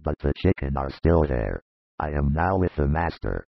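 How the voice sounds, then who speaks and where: A man voicing a gruff, wary older male villager in an audiobook, with a very deep, gravelly, husky voice and a slow, grudging way of talking. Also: lively intonation, dry recording, exaggerated voice. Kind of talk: audiobook character voice. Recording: dull lo-fi dry recording.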